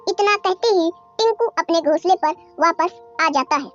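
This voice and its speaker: A woman's voice: very high-pitched, normal speed. A woman narrating a scripted children's cartoon story. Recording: dull audio over soft music.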